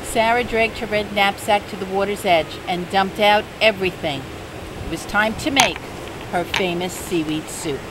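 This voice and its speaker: An older woman reads a children's storybook aloud at a gentle, unhurried pace, her pitch sitting in an ordinary middle range. The recording was made outdoors by the sea, with a steady wash of noise running under her voice. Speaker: storybook reader. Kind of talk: reading a picture book aloud.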